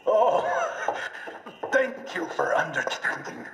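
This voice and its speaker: A fawning, greedy crooked doctor for a video game. He is theatrical and highish, swooping widely in an echoey hall. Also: huge pitch swings, very slow, vocal fry, fairly bright tone, a little hiss or hum, heavily acted. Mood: oily, amused. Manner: oily, theatrical, deferential. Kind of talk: video game cutscene acting.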